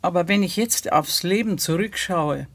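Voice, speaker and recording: An older woman reflecting in a documentary interview, searching haltingly for her words and posing questions to herself. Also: lowish voice.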